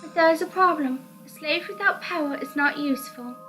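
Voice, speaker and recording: Game villainess, seductive and proud, high-voiced, condescending, lording it over a servant woman acting it out, music underneath. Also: fairly quick, fairly bright tone, low-quality recording. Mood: gloating, playful. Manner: gloating, commanding, condescending. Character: seductive, proud, malicious supernatural mistress claiming a servant.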